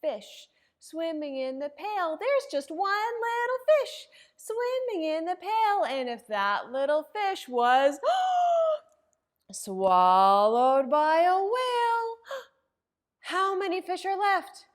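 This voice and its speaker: Woman, children's librarian, very slow pace, swooping expressive intonation, exaggerated dramatic delivery, singsong rhyme and story style. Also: extremely high pitch. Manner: simple, encouraging talk aimed at young children.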